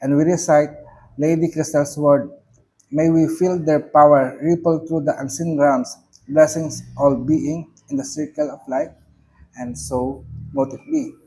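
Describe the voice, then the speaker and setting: A man with a Filipino accent, speaking English as a second language, giving a measured, solemn reflection on a prayer with occasional fillers. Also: a little high, fairly even intonation, warm tone, slight background noise, low-quality recording. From Philippines. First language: not English. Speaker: reverend giving commentary.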